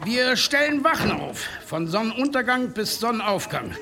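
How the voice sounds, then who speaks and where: Village headman, bright forceful voice, declamatory, authoritative, radio drama, music underneath. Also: very high voice, sing-song, normal speed, gravelly, exaggerated voice. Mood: firm, commanding. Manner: emphatic, declamatory. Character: male village headman, urging calm, firm authority. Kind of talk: German radio drama acting.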